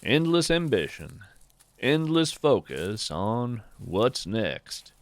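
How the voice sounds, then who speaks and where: A man hosting a podcast, reading his own reflective essay in a measured, musing way that lingers on phrases. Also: lowish voice, big pitch swings, dry recording. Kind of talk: slow reflective scripted narration.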